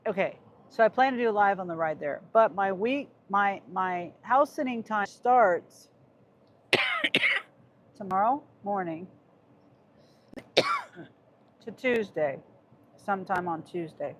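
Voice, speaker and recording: A woman's voice: medium pitch, very animated, slow, pauses often, noisy background. A middle-aged woman with a Midwest American accent, chatting on a livestream from her car and reading viewer chat aloud. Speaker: gig worker livestreamer. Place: inside a car. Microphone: phone on a dashboard mount.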